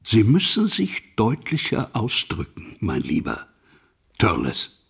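An actor playing a stern, formal older headmaster in a radio play, questioning in a measured, admonishing way. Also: male voice, fairly low pitch, wildly swooping pitch, creaky, fairly bright tone, husky, exaggerated voice. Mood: stern, probing.

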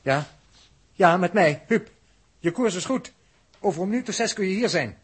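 A man's voice: high-pitched, lively intonation, moderate pace, breathy edge. An actor playing a stiff, logical, reserved crewman in a 1960s radio drama, with dry, formal reasoning. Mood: urgent.

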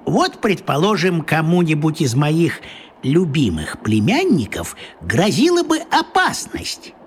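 An actor playing a small, crafty old wizard in a children's radio play, talking in a coaxing, folksy way with a husky voice. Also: male voice, fairly high pitch, huge pitch swings, a little slow, a little hiss or hum.

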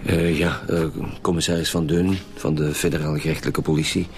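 An actor with a Flemish accent playing a gruff, terse police commissioner in a radio drama. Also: male voice, a bass voice, little pitch movement, brisk. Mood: businesslike. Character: gruff, grumbling male police commissioner leading detectives.

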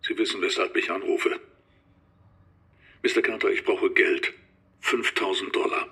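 Menacing, cocky blackmailer with a gravelly edge. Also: male voice, low-pitched, steady tone, unhurried, bright, forceful tone, heavily acted. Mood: menacing, demanding. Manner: blunt, demanding. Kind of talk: radio play acting.

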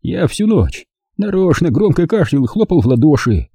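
A man's voice: a little high, big pitch swings, moderate pace, dark tone, husky, dry recording, clean studio sound, voice pushed up, heavily acted. A man voicing a frightened, tearful old German man who whines and begs for his life. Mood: lamenting. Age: older. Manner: tearful, whining, pleading.

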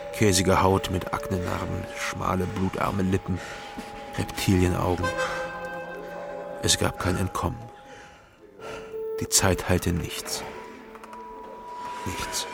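An actor playing a haunted, hard-drinking East German private detective in a radio drama, halting, weary and brooding. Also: male voice, low voice, flat delivery, creaky, exaggerated voice. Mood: bitter, haunted. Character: haunted male East Berlin private detective, ex-border soldier, heavy drinker. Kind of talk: radio drama dialogue and brooding first-person narration. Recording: music behind the voice.

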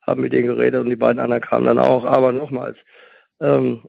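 Gravelly man, phone-like sound. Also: medium pitch, monotone, fast talker. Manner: emphatic, rambling, repeats key points. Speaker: stuttering therapist and interviewer. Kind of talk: conversational explanation in an interview. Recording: muffled, narrow phone-like sound with echo.

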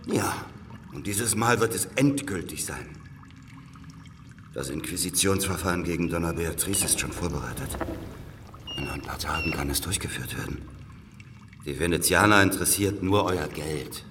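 An actor playing a worn, calculating older spy in a German historical radio drama, speaking in a grave, deliberate, conspiratorial way. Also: male voice, low-pitched, far from the mic, noisy background, lightly acted. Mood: grim, warning.

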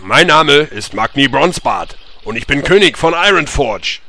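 A man playing a touchy, blustering dwarf king in a comedy audio drama, gruff and domineering. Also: a little high, animated, normal speed, bright, forceful tone. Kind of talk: German audio drama parody.

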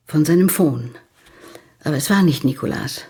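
Low, husky, composed woman. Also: animated, darkish tone, heavily acted. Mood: controlled concern. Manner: controlled, deliberate, insistent. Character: composed, strong-willed female client of a detective. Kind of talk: German radio play acting.

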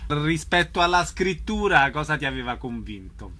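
A man's voice: fairly high pitch, lively intonation, normal speed, pushed and bright. A man hosting a radio interview, introducing and questioning his guest.

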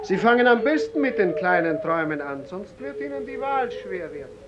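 An actor playing a solicitous shopkeeper who sells dreams, attentive and instructing, in a 1950s German radio play. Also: male voice, high voice, big pitch swings, normal speed, over a noisy crowd. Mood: guiding. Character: solicitous dream seller guiding a customer through his wares. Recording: muffled, very noisy 1950s radio recording.

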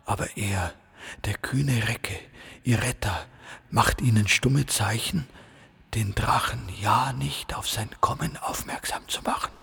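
An actor narrating a radio play as a suspenseful storyteller with a creaky, hushed delivery. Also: male voice, mid-range voice, unhurried, in a whisper, heavily acted. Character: hushed storyteller of a daring rescue.

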